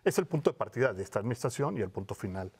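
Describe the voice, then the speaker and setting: Male researcher, fifties, mid-range pitch, searching delivery, panel interview on lapel mic. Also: sing-song, darkish tone, slightly rough. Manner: hesitant, halting, self-correcting. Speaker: academic researcher, studio guest. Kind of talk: televised panel interview, explanatory. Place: television studio panel desk.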